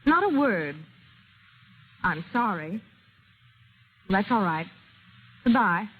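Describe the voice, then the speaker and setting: Slow, guarded secretary, tinny radio. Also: female voice, medium pitch, animated. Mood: guarded, polite. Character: guarded, cool secretary mixed up with gangsters. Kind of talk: 1930s radio drama acting. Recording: tinny vintage radio, faint noise.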